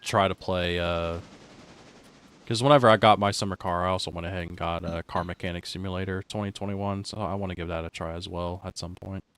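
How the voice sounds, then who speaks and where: A man with a very deep voice chats loosely with friends while streaming a game. He rambles and restarts his sentences as he thinks, talking into a desk mic over voice chat. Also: steady tone, brisk, fairly bright tone, slight background noise. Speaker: streamer host. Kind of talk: casual chat while gaming.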